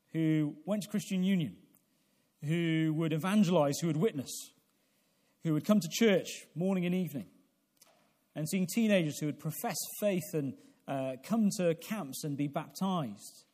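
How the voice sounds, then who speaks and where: Mellow-voiced male preacher in his forties. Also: fairly high pitch, expressive. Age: 40s. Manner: deliberate, halting delivery with repeated phrases and rhetorical questions. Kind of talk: evangelical sermon with prayer.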